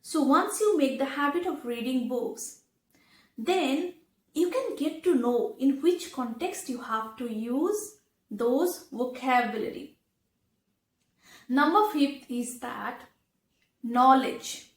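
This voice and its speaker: A high-voiced woman, not a native English speaker, presents a lesson for learners. She speaks slowly and deliberately, breaking her advice into short phrases. The room around her adds a clear echo. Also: expressive. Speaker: English teacher, video presenter. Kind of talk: instructional talk to learners. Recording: echoey room.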